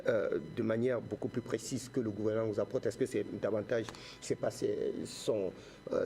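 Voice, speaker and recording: A male television interviewer has a warm, dark voice of average pitch. He asks long, emphatic questions and rephrases as he goes, over faint background noise. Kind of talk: talk show interview questioning.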